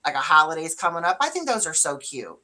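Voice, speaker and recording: A young woman with a deeper voice, co-hosting a relaxed, chatty talk show with lots of fillers. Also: fast talker. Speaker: co-host. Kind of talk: casual chat.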